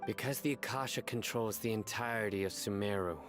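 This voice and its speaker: An actor playing a stern, terse law enforcer in a video game, speaking in a serious, guarded, clipped way. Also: male voice, fairly low pitch, brisk, music in the background, close to an everyday voice. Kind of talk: scripted video game dialogue.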